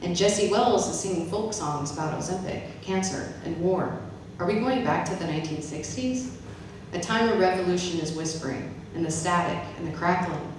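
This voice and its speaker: A woman's voice: fairly low pitch, moderate pace, few pauses. A woman poet and professor reading her own poems live at an event. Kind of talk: live spoken-word poetry reading. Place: reverberant event room with an audience. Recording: very echoey room, distant mic, noisy.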